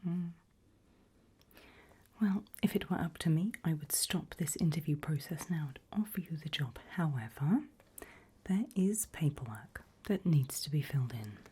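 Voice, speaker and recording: A woman in an ASMR roleplay as a politely sinister HR interviewer, whispering and murmuring softly in a deliberate, measured way with pauses. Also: lively intonation. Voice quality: breathy whisper with soft low murmurs. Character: calm, politely sinister HR interviewer at an evil organisation.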